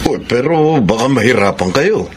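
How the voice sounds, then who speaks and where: A man's voice: mid-range voice, expressive, normal speed, lightly acted. A Filipino man playing a concerned, cautioning older brother in a radio drama. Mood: doubtful concern. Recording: noisy radio drama recording.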